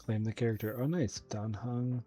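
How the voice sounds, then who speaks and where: A man's voice: medium pitch, fairly even intonation, normal speed, warm tone. A man hosting a gaming channel, giving casual commentary as he plays. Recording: faint game music behind the voice.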